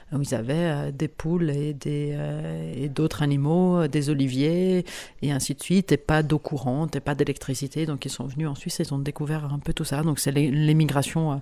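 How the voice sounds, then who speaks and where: Swiss-woman, halting guest talk. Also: sits low, dark tone. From Italian-speaking Switzerland. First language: Italian. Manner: halting, many fillers and restarts, laughs often. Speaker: guest lecturer. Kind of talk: hesitant conversational interview.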